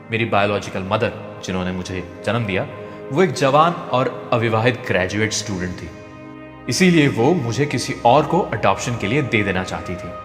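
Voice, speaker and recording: A man reading a scripted motivational story in a measured, storytelling way. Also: lowish voice. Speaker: narrator. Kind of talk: scripted motivational narration of a translated speech. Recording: echoey, long reverb, music behind. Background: background music.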